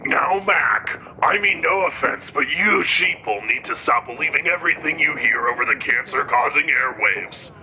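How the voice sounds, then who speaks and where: Man acting a sharp, creaky-voiced paranoid conspiracy ranter. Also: a little high, expressive, moderate pace, few pauses, heavily acted, low-quality recording. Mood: smug, scornful. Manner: agitated, accusatory, emphatic ranting. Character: ranting paranoid male conspiracy theorist radio pundit. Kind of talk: audio drama radio news parody.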